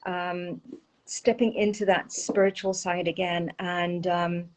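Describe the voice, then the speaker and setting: A woman's voice: on the low side, little pitch movement, slow pace, a little mellow. A woman in her forties from South Africa, with a South African accent and Portuguese as her first language, chatting as an interview guest over a video call, with frequent ums. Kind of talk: casual interview chat.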